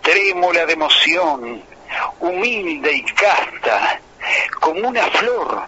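A man calling in to a radio show, reciting poetry slowly and earnestly. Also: fairly high pitch, expressive, bright, forceful tone, husky. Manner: earnest, lingering on lines. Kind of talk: slow, emotive poetry recitation. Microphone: telephone. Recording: tinny phone line with faint noise.

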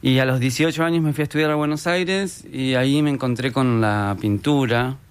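Young argentine guy, smooth clear voice, mid pitch, easygoing rambly interview chat, pokes fun at himself. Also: brisk. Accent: Argentine Spanish. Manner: relaxed, rambling, self-deprecating. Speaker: guest illustrator and painter.

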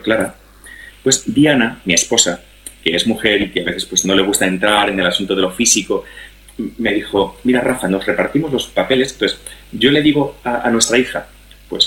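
A man's voice: fairly low pitch, fairly bright tone. Middle-aged Spanish man in an echoey room. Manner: didactic, repeats phrases for emphasis. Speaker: guest speaker on family and sex education. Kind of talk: conversational explanatory interview talk. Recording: roomy, echoey sound.

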